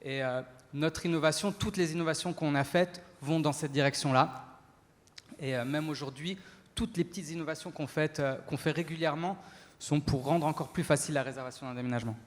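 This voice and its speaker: Male entrepreneur as event guest, halting delivery, long hall reverb. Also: on the high side. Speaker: entrepreneur being interviewed on stage. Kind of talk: spoken testimony at a business talk event.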